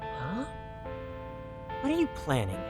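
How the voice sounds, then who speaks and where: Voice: medium pitch, wildly swooping pitch, takes it slowly, loud music behind the voice. An actor playing a proud, defensive fifteen-year-old prodigy in an audio drama, haughty and precise but easily flustered. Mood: guarded, haughty.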